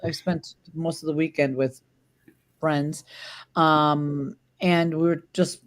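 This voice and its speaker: A woman's voice: deep voice, fairly even intonation, takes it slowly. A woman hosting a dog-training chat, a native Spanish speaker, talking in a chatty, personal way with many fillers and restarts. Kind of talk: casual conversation.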